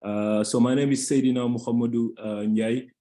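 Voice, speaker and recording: A man's voice: mid-range voice, steady tone, warm tone. A man from Senegal speaking English with a Senegalese French accent, his first language being French, introducing himself on a panel over a phone, with many hesitations. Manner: halting, many uh fillers. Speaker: panelist, social entrepreneur.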